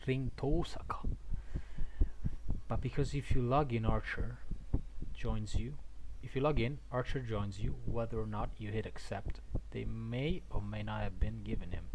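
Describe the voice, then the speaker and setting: A man live streaming a game and chatting casually, halting and often repeating his words. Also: fairly low pitch. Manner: halting, repetitive. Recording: faint background noise.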